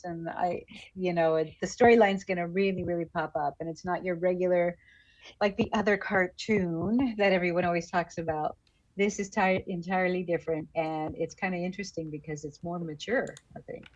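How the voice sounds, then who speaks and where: A woman's voice: fairly low pitch, moderate pace, no room sound. A woman chatting casually as a guest on a livestream. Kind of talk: casual chat. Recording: slightly dull video-call audio.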